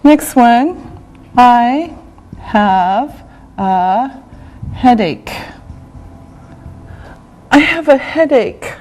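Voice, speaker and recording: Woman teacher, slightly high voice, very slow and deliberate, repeats every phrase. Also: animated, darkish tone. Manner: slow, drawn-out repetition of words. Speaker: English teacher. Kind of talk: slow classroom vocabulary lesson.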